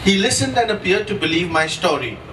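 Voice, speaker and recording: A man's voice: high-pitched, big pitch swings, a little fast. A man giving a dramatic first-person reading from a novel at a book launch. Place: indoor event room at a book launch, miked from a distance. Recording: distant echoey room, clear background noise.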